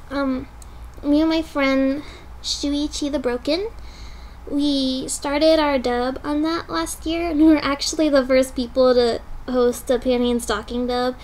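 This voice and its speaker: A young woman talks into her webcam in a bedroom, bubbly and full of ums and little giggles. Her voice sits high, and a faint hiss runs under the recording. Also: darkish tone, low-quality recording. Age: young adult. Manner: chatty, giggly, filler-heavy. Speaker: fan dub voice actor. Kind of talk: casual webcam interview answer. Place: bedroom with bed behind.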